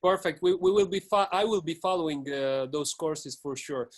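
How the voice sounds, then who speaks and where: A man's voice: on the high side, normal speed. A man hosting a webinar on a video call, speaking politely and hesitantly with many fillers as he thanks speakers and introduces the next one. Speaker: webinar host and moderator. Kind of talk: moderating a webinar.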